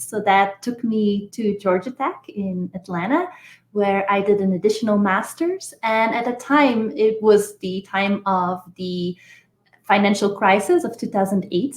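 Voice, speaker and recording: Measured Belgian-born woman in an echoey room. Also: mid-range voice. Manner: measured, explanatory. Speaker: guest, engineering professor. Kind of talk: podcast interview answers.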